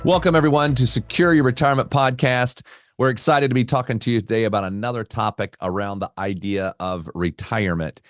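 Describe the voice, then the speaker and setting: A man hosting a finance podcast, welcoming listeners and talking in a relaxed way with some fillers. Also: mid-range voice, fairly quick, faint music behind. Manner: conversational, fillers. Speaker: podcast host.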